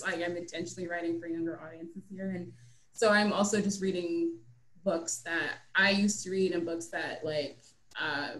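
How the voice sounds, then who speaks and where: A young adult woman, an author chatting casually as a guest on an online book talk. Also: fairly low pitch. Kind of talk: casual chat. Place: indoor room, on a video call. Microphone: computer mic on a video call. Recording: roomy, echoing video call.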